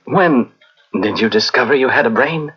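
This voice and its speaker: A man's voice: mid-range voice, moderate pace, slightly rough, close to an everyday voice. An actor playing a meek, resigned man who hesitates and stammers, in an old-time radio drama. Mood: resigned, tender. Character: meek, flustered, resigned man befriending a machine. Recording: muffled old radio recording from cassette.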